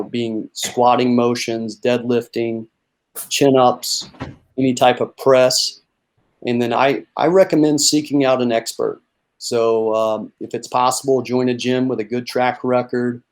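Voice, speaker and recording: A young man from North Carolina, a strength and conditioning coach answering interview questions in a relaxed way, with plenty of fillers and repeats. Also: medium pitch, unhurried.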